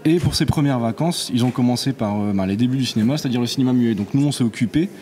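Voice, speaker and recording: A man from a technical team explaining things off the cuff, halting and correcting himself. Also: medium pitch, dark tone. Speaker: technical team member, guest. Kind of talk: unscripted explanation.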